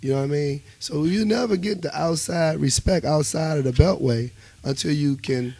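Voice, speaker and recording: Emphatic higher-voiced Washington, DC man. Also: normal speed, gravelly. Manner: emphatic, animated storytelling with repeated check-ins. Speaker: musician and bandleader, interview guest. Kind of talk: casual radio interview talk.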